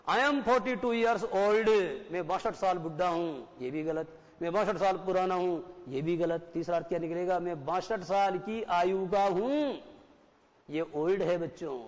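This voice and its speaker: A man in his forties teaching a class, who explains step by step and keeps asking the students questions. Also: high voice. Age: 40s. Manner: mixes Hindi and English. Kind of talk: classroom lecture. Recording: dull broadcast audio with long reverb.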